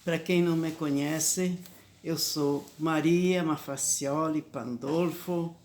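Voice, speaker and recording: A woman's voice: sits low, steady tone, darkish tone. Elderly woman with Talian-tinged accent. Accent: Italian-Brazilian Talian-influenced Portuguese. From southern Brazil. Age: 80s. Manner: slow, reflective, with frequent restarts. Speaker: storyteller. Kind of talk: personal memoir.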